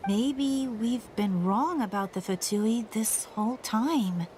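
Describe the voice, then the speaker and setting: A woman's voice: a little high, slow, a little mellow, slight background noise. A woman playing a spirited, stubborn young female adventurer in a video game, earnest and insistent. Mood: concerned, curious. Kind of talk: video game dialogue acting.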